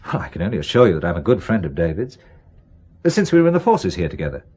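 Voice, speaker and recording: Assured British spy, lively low voice. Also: male voice, fast talker, slightly rough, exaggerated voice. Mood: polite, probing. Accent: British English. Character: assured British male spy, calm and urbane. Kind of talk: radio spy thriller acting.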